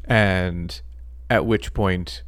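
A man co-hosting a podcast in casual chat, talking haltingly with many ums and restarts. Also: low-pitched, fairly even intonation, fairly bright tone, no room sound. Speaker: podcast co-host.

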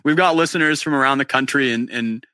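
A man's voice: mid-range voice, fast talker, bright, forceful tone, dry recording. A man from New England hosting a podcast interview, talking in a chummy, upbeat, loose way. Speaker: podcast host. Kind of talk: casual live-stream interview chat.

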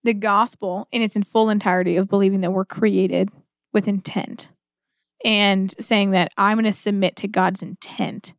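A woman's voice: medium pitch, fairly even intonation, normal speed, no echo. Dry-sounding woman reflecting on faith. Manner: reflective, conversational, frequent "like". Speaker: podcast co-host. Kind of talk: casual religious discussion.

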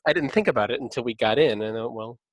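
A man's voice: mid-range voice, racing, no echo. A man hosting a podcast and chatting casually, rambling with frequent restarts and light laughs. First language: English. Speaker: podcast host.

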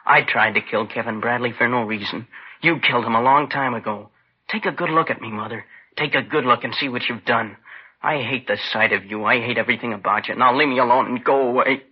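A man acts a bitter young son in his twenties, smothered and emotional, in a 1950s radio play. His voice is rough and husky at an average male pitch. The recording is dull and lo-fi. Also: brisk, lightly acted. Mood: bitter outburst. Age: young adult. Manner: emotional, earnest. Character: smothered, bitter twenty-two-year-old son rebelling against his mother. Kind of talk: 1950s radio drama acting.

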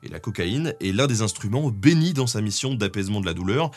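A man's voice: lowish voice, animated, brisk. A man with a French accent co-presenting history, explaining a script in a conversational way with frequent tag words. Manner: conversational, peppered with "hein" tags. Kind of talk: semi-scripted explanatory narration.

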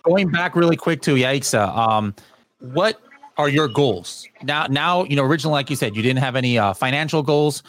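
A man's voice: mid-range voice, lively intonation, moderate pace, a bit bright, no echo. A man hosting a podcast in casual interview chat, rambling with many fillers and restarts. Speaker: podcast host.

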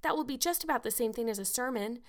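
A woman's voice: on the high side, animated, quick pace, voice pushed up, lightly acted. A woman playing a breathless, gushing young girl. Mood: pleading. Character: eager chattering young orphan girl.

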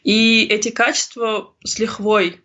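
A woman's voice: on the high side, level tone, unhurried, bright, forceful tone. A woman in her thirties from Kazakhstan, speaking earnestly in a reflective interview and often searching for words. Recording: slightly dull lo-fi recording.

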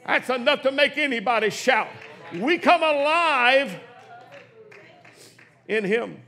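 A man in his sixties preaching a sermon in a church, swinging from hushed, quick asides to shouted exclamations. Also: extremely high pitch, lively intonation, soft background music. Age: 60s. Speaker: pastor. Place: church sanctuary.

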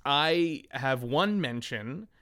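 A man's voice: medium pitch, animated, extremely slow, bright, forceful tone. A man co-hosting a podcast in casual banter, with a playful, giggly, jokey manner. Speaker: podcast co-host. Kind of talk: casual banter between co-hosts.

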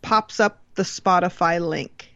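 A woman's voice: on the low side, unhurried, a bit bright, dry recording. Woman podcast host, casual chatty banter, very dry, somewhat muffled sound.

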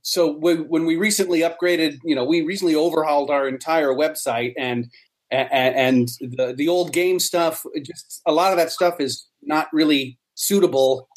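A man's voice: fairly high pitch, steady tone, normal speed. A middle-aged man giving casual, explanatory interview answers, often repeating words as he thinks. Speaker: interview guest, member of a hobbyist society.

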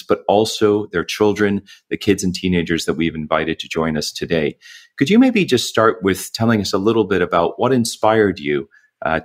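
A man hosting a virtual event, reading a guest introduction and then asking somewhat halting questions. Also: low-pitched. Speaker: event host and interviewer.

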